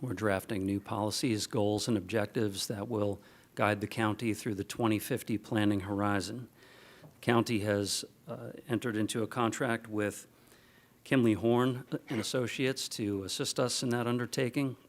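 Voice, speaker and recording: Formal, lower-voiced county official. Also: male voice, steady tone. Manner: measured, formal. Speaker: county planning director. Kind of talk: formal staff report.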